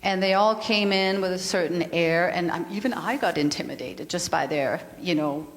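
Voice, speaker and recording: Earnest Danish woman with a low voice. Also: normal speed, a little hiss or hum. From Denmark. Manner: calm, earnest, repeats words as she thinks. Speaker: yoga and mindfulness teacher. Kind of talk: reflective spiritual talk. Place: spiritual community meeting hall. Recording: reverberant hall.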